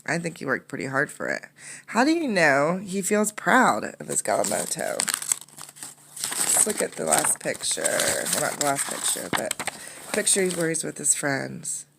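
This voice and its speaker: A woman with a low, fry-tinged voice reads a picture book aloud. She narrates expressively and steps out of the story to ask children simple questions, as a teacher would. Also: huge pitch swings, measured pace, background noise.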